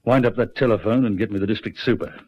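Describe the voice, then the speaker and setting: Man acting a no-nonsense police sergeant, lowish voice, blunt, deliberate, dull lo-fi radio drama sound. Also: lightly acted. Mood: grave, urgent. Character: firm, no-nonsense male country police sergeant.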